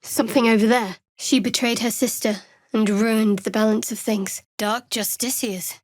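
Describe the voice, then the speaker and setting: A woman's voice: fairly high pitch, steady tone, moderate pace, no echo, lightly acted. An actress voicing a guarded, sardonic young female cleric in a video game, with a dry, wry manner. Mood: wary. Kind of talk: video game character voice acting.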